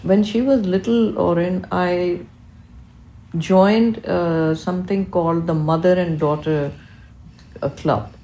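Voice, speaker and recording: Older urdu-native woman answering interview questions, unhurried and reflective, kinda mellow, echoey room. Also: fairly low pitch, steady tone. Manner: eloquent, reflective, deliberate phrasing. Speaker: interview guest, physician and researcher.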